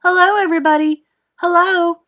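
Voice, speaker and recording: A woman's voice: a little high, animated, moderate pace, lightly acted. A woman voicing a cheerful, polite small boy in a storybook, eager and proud, with a lilting delivery. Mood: excited. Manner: eager, lilting, recites in rhyme.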